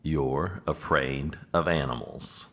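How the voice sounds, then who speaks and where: Man acting a very deep, exasperated political aide. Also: slow pace, fairly bright tone, exaggerated voice. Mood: dry, skeptical. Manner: dry, weary, sardonic. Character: exasperated, long-suffering male political aide. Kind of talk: comedic audio drama acting.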